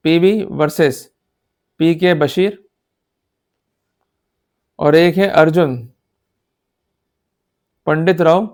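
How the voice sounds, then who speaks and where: A man with an Indian accent giving an explanatory law lecture in a didactic, emphatic manner, often checking that listeners follow. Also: fairly high pitch. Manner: didactic, emphatic, checks understanding with repeated short questions. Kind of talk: explanatory lecture in Hindi mixed with English legal terms.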